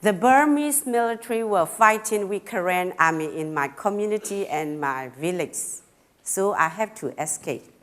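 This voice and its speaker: A middle-aged woman from Burma with a Karen accent, giving a personal talk in simple, halting phrasing with pauses and fillers, a little nervous. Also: medium pitch, animated. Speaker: speaker at a talk.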